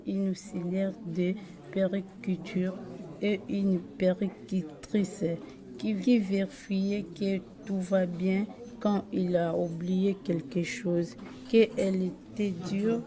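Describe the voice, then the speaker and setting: A young woman, a student, gives a personal account in halting, stumbling phrases. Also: mid-range voice. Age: young adult. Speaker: high school student. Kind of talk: personal account of career choice.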